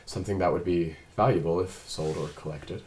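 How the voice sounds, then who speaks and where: Deep-voiced android cop played by a man, reserved and earnest, precise formal diction, some background noise. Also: a little mellow, close to an everyday voice, low-quality recording. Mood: pensive. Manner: measured, formal, precise phrasing. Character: earnest, reserved male android police detective partner. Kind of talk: table read of a drama script.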